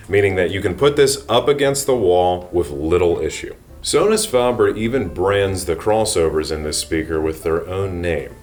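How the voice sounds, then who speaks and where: Very low-voiced man reviewing products. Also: a little mellow. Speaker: product reviewer and channel host. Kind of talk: scripted product review narration to viewers.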